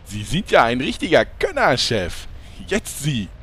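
A man's voice: mid-range voice, wildly swooping pitch, a little slow, sharp tone, heavily acted. A man plays a cheerful pest of a sidekick in a comedy radio play. He chatters theatrically in a mid-range voice that swoops up and down dramatically, with faint noise behind. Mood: chirpy, pestering. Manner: chirpy, pestering, theatrical. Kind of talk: German comedy audio drama acting.

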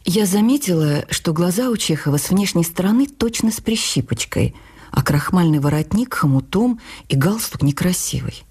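An actress playing a woman writer recalling her youth in an expressive, literary radio memoir reading. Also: on the low side, normal speed, breathy edge. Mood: reflective storytelling.

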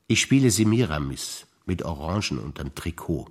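A man's voice: deep voice, big pitch swings, moderate pace, slightly rough. An older man, a stage actor, telling wry memories in a theatre monologue.

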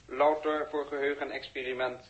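An actor playing an emotionless talking machine in a 1960s Dutch radio drama, announcing in stilted, formal recitation. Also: male voice, mid-range voice, little pitch movement, normal speed, dull audio, lightly acted. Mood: impassive.

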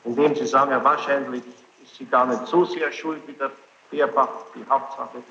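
A man formally questioning a witness as a presiding judge, speaking in a halting, deliberate way on an old courtroom tape. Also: mid-range voice, fairly even intonation, pushed and bright. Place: large echoing courtroom. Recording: echoey hall, dull noisy archive tape.

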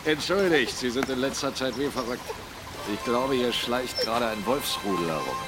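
A man playing a bluff, commanding country captain and host in a German radio drama, gruff and hearty. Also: mid-range voice, animated, normal speed, over loud music, lightly acted.

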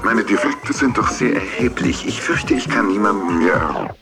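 A polite, wordy car computer, voiced by a man, speaks low and formally, with precise phrasing. It sounds like a dubbed TV series clip, with loud music behind. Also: lively intonation, normal speed, close to an everyday voice. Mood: apologetic, failing.

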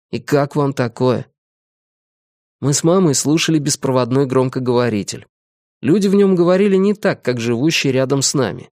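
A man reading a novel aloud in the first person, measured and reflective. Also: medium pitch, a little mellow, no echo, clean studio sound. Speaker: audiobook narrator. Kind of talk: first-person audiobook narration.

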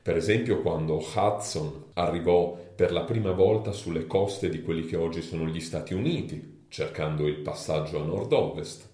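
Male presenter, low mellow voice, informal and explanatory, introducing a show in an echoey room. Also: normal speed, hardly any pauses. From Italy. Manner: conversational, explanatory. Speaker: author introducing his show. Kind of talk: informal spoken introduction to a performance. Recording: roomy, echoing live sound.

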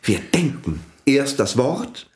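A man's voice: medium pitch, very animated, slow, darkish tone, reverberant. An actor in a radio play playing a pompous, fanatical priest who preaches his invented world language in an imperious way. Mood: indignant, preaching.

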